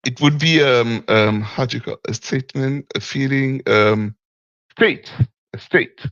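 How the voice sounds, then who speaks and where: Gravelly man, hesitant. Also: medium pitch, unhurried, fairly bright tone, no room sound, muffled recording. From Martinique. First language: French. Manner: hesitant, searching for words, chuckles often. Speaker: caller. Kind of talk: call-in discussion.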